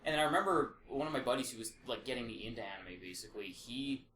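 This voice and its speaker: A man's voice: medium pitch, fast, sharp tone. A man co-hosting a casual podcast, giving emphatic, sweary opinions. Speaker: podcast co-host. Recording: roomy echo, faint background noise.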